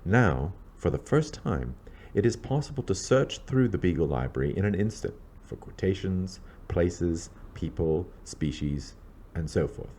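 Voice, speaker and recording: A man reading scripted narration aloud in a measured, scholarly, documentary-like way. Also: sits low, animated, warm tone, slight background noise.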